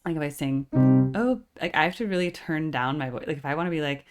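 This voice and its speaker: A woman's voice: deep voice, lively intonation, fast, loud music behind the voice. A woman in her forties with a full, operatically trained voice, chatting casually as a reaction channel host and filling her talk with "like". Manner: chatty, constant filler "like".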